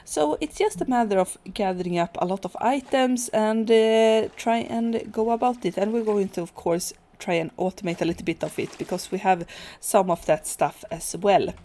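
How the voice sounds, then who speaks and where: A woman's voice: medium pitch, normal speed. Hesitant woman narrating her gameplay. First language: Swedish. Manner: relaxed, hesitant, many fillers and restarts. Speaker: gaming channel host. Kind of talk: casual let's play commentary.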